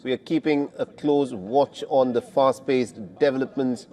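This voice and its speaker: A male news anchor with a mid-range voice reads breaking news in a measured, clear way, then moves into questioning a remote guest. His delivery stays steady and composed. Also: medium pitch. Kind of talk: live breaking news presenting.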